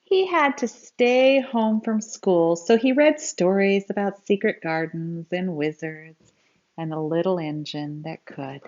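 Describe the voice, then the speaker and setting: Woman, low-pitched, slow read-aloud for young children, expressive storytelling, slightly dull lo-fi recording. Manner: simple explanatory asides addressed to children. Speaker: storyteller reading a picture book aloud to children.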